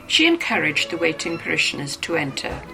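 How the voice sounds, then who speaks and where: A woman's voice: low-pitched, sing-song, brisk, gravelly, music in the background, rough recording. A woman narrating a novel as a scripted, dramatized reading.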